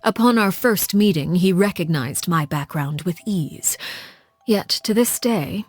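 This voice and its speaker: A woman voicing a cold, composed, commanding woman in a video game, speaking in a measured, formal, aloof and quietly menacing way. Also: lowish voice, expressive, no echo. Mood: cool, measured. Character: cold, composed, commanding woman, a Harbinger, judging her colleagues. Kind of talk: scripted video game character monologue.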